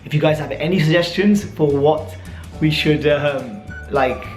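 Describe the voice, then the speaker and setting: A man's voice: fairly high pitch, a little slow, reverberant, faint music behind. A young adult man with a British accent, chatting casually and informally as he answers viewer questions in a vlog. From born and raised in the UK, teenage years in Dubai. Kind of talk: casual Q&A chat to camera.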